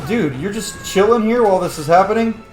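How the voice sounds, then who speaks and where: A man's voice: high voice, lively intonation, a little fast, echoey room, over music, rough recording. A man, a young adult, hosting a gaming video with casual, sweary, emphatic commentary. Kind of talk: casual let's-play commentary.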